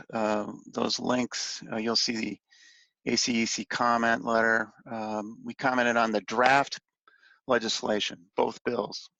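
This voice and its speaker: A man with a fairly low, gravelly voice talks through a slide briefing on a conference call. His delivery is halting and informal, with phrases that trail off. The sound is roomy and echoey. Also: a bit bright, muffled recording. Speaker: industry association policy advocate briefing on legislation.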